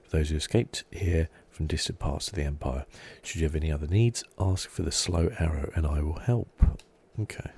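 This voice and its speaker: A soft-spoken man giving relaxed, rambling ASMR commentary as he plays a video game, thinking aloud. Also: normal speed, hardly any pauses, slightly rough. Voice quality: soft-spoken, low-key.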